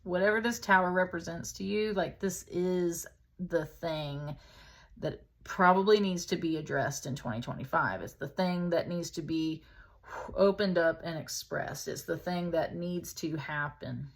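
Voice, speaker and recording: Woman w a lowish voice doing a tarot reading, super casual and chatty, kinda thinking out loud. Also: measured pace. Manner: informal, chatty, thinking aloud. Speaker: tarot reader, host.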